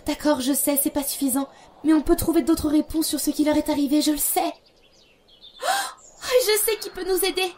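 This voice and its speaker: Woman playing a bubbly, high-voiced young princess. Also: moderate pace, darkish tone, a little hiss or hum, lightly acted. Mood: eager, determined. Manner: gushing, rambling, self-correcting. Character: young princess bride, bubbly, devoted, rambling, stubbornly hopeful sister. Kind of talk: animated-fantasy fan dub acting in French. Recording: music behind the voice.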